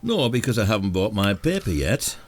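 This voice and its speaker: A man's voice: fairly low pitch, very animated, a little fast, faint music behind. A man playing a wry, sarcastic retired village detective with a Northern English accent in a radio drama. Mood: dry. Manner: dry, sarcastic.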